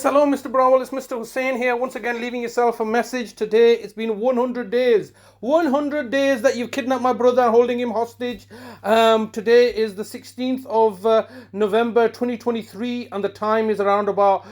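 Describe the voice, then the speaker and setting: A man leaves an indignant, accusatory voicemail, halting often on fillers and restarts. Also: sits high, darkish tone. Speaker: caller leaving a daily voicemail. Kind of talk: angry one-sided phone message.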